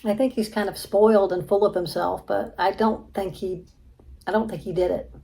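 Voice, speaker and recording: A woman's voice: medium pitch, steady tone, moderate pace, vocal fry, warm tone. A woman giving brief, casual, somewhat hesitant answers as a player in an interactive mystery.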